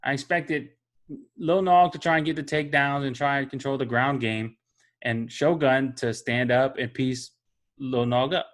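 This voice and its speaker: A man's voice: mid-range voice, steady tone, normal speed. A man co-hosting a casual sports chat, laid-back and chatty. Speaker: podcast co-host.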